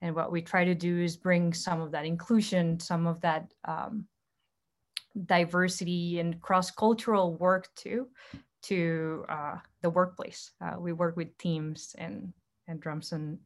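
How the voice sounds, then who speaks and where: A woman's voice: on the low side, little pitch movement, unhurried. A woman from Costa Rica, a native Spanish speaker, speaking about herself as a panelist in a discussion. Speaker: panelist, startup co-founder. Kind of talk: panel discussion, personal account.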